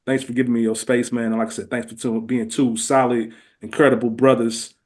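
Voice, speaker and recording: A man's voice: medium pitch, level tone, brisk, a little mellow. A man speaking as a podcast guest, casual and heartfelt, with earnest, slangy phrasing that often leans on "man.".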